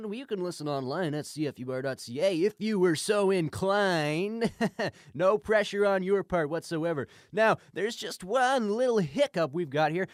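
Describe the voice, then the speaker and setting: A man's voice: sits high, no room sound, studio quality. A man playing a folksy, flustered small-station radio announcer, stammering and rambling in improvised comedy.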